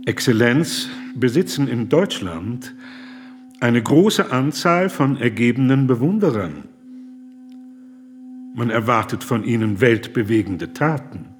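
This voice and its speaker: A man acting in a German radio drama as a deferential, earnest envoy, formal, careful and halting. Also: mid-range voice, animated. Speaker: actor in a radio play.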